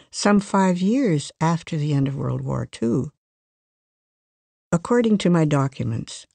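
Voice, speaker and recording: Gravelly voice, dry close recording, measured scripted reading, first-person life story. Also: medium pitch, warm tone, no echo. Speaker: reader of a magazine life story.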